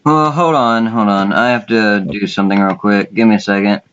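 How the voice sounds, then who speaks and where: A man's voice: fairly low pitch, fairly even intonation, moderate pace, fairly bright tone, slight background noise. An informal man talks off the cuff as a contest co-host. His delivery is blunt and conversational, and the recording is dull and lo-fi. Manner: blunt, informal, swears casually. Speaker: online contest co-host and judge. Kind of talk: casual unscripted chat. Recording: muffled lo-fi voice recording.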